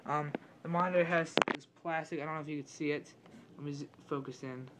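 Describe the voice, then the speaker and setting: A young man with a fairly high voice, a little raspy from being sick. He rambles through a casual unboxing at his desk, filling pauses and correcting himself often. He is recorded on a camera mic. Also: fairly even intonation, very noisy. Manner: rambling, many fillers and self-corrections. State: slightly raspy from illness. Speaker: tech unboxing vlogger. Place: home room at a desk.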